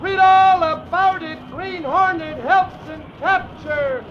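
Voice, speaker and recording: An actor playing a street newsboy hawking papers in a 1940s radio drama, with drawn-out cries. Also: male voice, very high voice, steady tone. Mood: urgent hawking. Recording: muffled old radio, noisy street behind.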